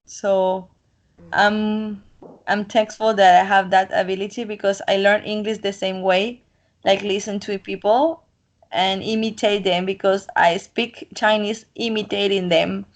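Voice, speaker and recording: A woman's voice: medium pitch, steady tone, a little slow. Talkative woman with a Colombian accent. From Colombian coast. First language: Spanish. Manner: talkative, rambling, self-assured. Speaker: interviewee. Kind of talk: casual chat.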